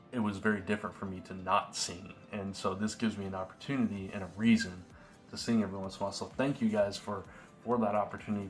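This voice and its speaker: Hoarse young guy vlogging, lowish voice, rambles a lot, bit of music in the background. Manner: earnest, rambling, frequent fillers and restarts. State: slightly hoarse. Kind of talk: casual vlog talk to camera.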